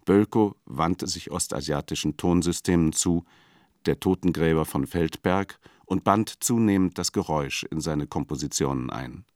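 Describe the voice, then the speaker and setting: An actor playing a learned, earnest editor who reads out biographical commentary in a dry, pedantic, formal manner in a radio play. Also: male voice, extremely low pitch, normal speed, darkish tone, no room sound. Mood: earnest, scholarly.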